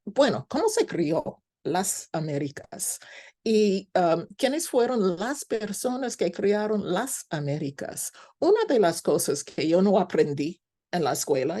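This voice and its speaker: Slow-paced American woman lecturing in Spanish. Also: on the low side, dark tone. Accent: American English accent in Spanish. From United States. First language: English. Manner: halting, searches for words in second-language Spanish. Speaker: guest lecturer, scholar with a doctorate. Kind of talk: informal academic lecture.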